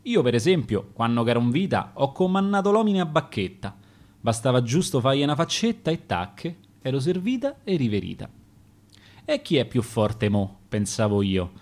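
A man's voice: mid-range voice, sing-song, moderate pace, a little mellow. A man reading as a boastful dead showgirl with a Roman accent. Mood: sly boastful. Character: vain flirtatious dead woman, a showgirl.

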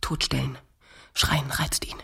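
A woman playing a cool, skeptical middle-aged wife in a radio drama, with a low husky voice and terse, dry delivery. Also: level tone, slow, a bit bright, dry recording, whispered, heavily acted. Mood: anxious, urgent. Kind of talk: intimate modern radio drama dialogue.

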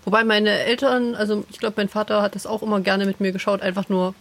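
A woman co-hosting a podcast, telling casual nostalgic anecdotes in relaxed conversation. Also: medium pitch, fast talker, vocal fry. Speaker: podcast co-host.

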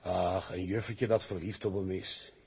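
An actor playing a gruff, loyal shipmate in a drama, with a rough husky voice, dismissive yet reassuring. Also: male voice, sits low, steady tone, measured pace, a little mellow, exaggerated voice. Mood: soothing, dismissive.

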